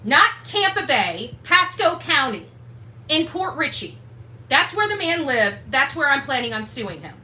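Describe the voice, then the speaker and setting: A woman's voice: high-pitched, big pitch swings, unhurried, pushed and bright. A woman hosting a livestream rant in an indignant, combative, emphatic way, repeating herself for force. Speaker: livestream host. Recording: roomy echo, faint background noise.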